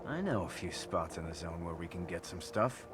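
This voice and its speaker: A man voicing a casual, gruff mutant scavenger sidekick in a video game, speaking in a streetwise way. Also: sits low, expressive, normal speed, heavy background noise. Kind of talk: video game character acting. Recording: loud music behind the voice. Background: loud game music.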